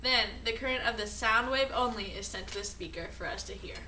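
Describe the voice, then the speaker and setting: A woman's voice: on the high side, fairly quick. A young woman giving a scripted explanation as a student presenter. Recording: echoey, distant mic, faint noise.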